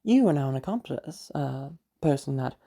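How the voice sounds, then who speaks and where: Woman playing a gravelly, controlling, sanctimonious mother. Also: rumbling low, expressive, warm tone, full character voice. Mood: preaching. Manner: condescending, slow deliberate taunting with hums. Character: controlling, sanctimonious, menacing mother of a grown daughter. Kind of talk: amateur audio roleplay drama.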